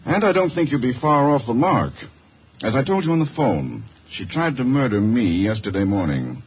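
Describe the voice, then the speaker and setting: An actor playing an anxious, educated doctor in a 1950s radio drama, speaking formally and with some hesitation. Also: male voice, medium pitch, sing-song, moderate pace, breathy edge. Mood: uneasy, insistent. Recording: muffled old radio broadcast.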